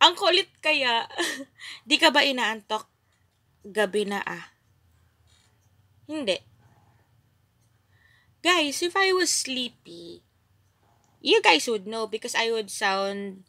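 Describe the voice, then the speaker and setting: A woman's voice: a little high, pushed and bright, no room sound. A young woman with a Filipino accent, chatting playfully and giggling as she streams herself playing a game. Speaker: gaming streamer. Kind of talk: live gameplay commentary and chat.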